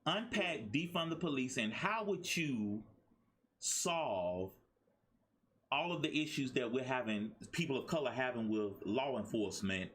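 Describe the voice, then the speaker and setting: A man's voice: mid-range voice, animated, unhurried, a bit bright, breathy edge. A man hosting a talk show interview, encouraging his guest with frequent affirmations.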